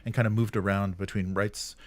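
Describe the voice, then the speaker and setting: A man with a fairly low voice, recorded close and dry. He co-hosts a casual discussion show about gaming news, and he speaks haltingly, feeling for the right word as he goes. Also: level tone, no echo. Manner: halting, searching for words. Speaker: co-host of a weekly tabletop gaming show.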